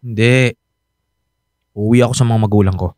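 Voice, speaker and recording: A man's voice: on the low side, fairly even intonation, normal speed, darkish tone, dry recording, clean studio sound, close to an everyday voice. A male actor playing a troubled, defensive unfaithful young husband in a radio drama, both narrating and in dialogue. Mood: evasive, irritated. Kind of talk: Filipino radio drama acting and first-person narration.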